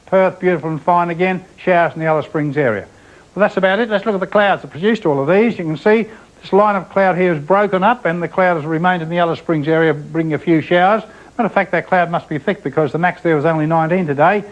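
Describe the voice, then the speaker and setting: Aussie weather guy rattling thru the charts fast, slightly high voice, muffled old tv sound. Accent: Australian. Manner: brisk, chatty, pointing at charts. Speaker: TV weather presenter. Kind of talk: semi-scripted weather report. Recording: dull, lo-fi TV broadcast sound.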